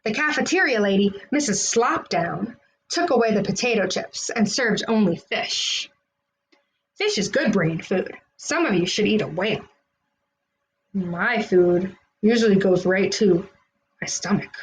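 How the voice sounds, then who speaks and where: A woman's voice: mid-range voice, lively intonation, a little slow, slight background noise, poor audio. A woman reading a children's storybook aloud, narrating expressively in the words of a school kid. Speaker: children's read-aloud host.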